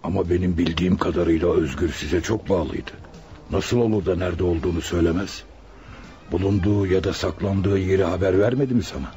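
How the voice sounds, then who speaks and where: A man acting a middle-aged scheming restaurant owner in a radio drama, measured and probing, feigning fatherly concern. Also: low voice, dark tone. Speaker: voice actor. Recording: radio play with music underneath.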